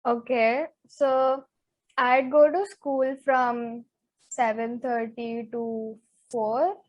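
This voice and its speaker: Teen girl co-host, slightly high voice, chatty and quick to get worked up. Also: rough recording. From Zambia. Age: teens. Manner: chatty, animated, says "like" a lot. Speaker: co-host, interviewee. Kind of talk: casual chat podcast.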